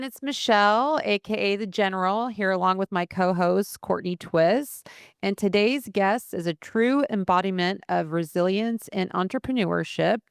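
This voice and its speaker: Casting: woman podcast host, upbeat and chatty, slight vocal fry, smooth clear tone. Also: medium pitch, dry recording, clean studio sound. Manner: energetic, chatty, with some fumbles.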